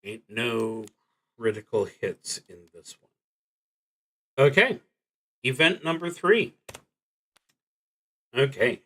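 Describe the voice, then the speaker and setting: Male solo RPG streamer, mid-pitched and bright, slow and deliberate, narrating play live with dry asides. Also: sing-song. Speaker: solo tabletop roleplaying streamer.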